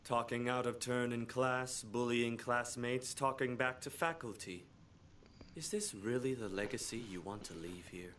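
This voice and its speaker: Patient, moralizing counselor for a visual novel: extremely low, earnest voice. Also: female voice, measured pace, background noise. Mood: stern. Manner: earnest, lecturing. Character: patient, preachy adult high school counselor. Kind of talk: visual novel game voice acting.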